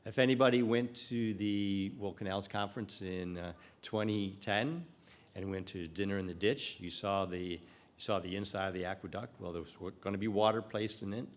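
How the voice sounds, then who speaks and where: A man giving a live conference presentation, pausing often with fillers and restarts. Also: on the low side. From United States. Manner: halting, many fillers and restarts. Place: conference room.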